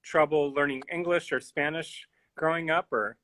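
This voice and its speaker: A man's voice: on the high side, steady tone, normal speed, bright, forceful tone. A man interviewing an artist, asking long, loosely worded questions and giving frequent backchannel sounds. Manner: friendly, rambling questions with many backchannels. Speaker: curator, interviewer. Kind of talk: conversational interview.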